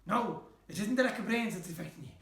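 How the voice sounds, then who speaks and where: A man performs a scornful Irish schoolmaster from a storybook, a religious Brother who harangues his class in a west of Ireland accent. The voice is fairly high and fuming. The room rings with noticeable echo. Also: fast talker, a bit bright, distant mic. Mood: scolding, fuming. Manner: scolding, haranguing.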